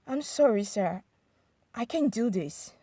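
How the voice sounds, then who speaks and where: A woman's voice: a little high, lively intonation, slow pace, dark tone, breathy edge, no echo, studio quality, heavily acted. A woman voicing an earnest young female graduate in a narrated folktale. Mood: meek refusal.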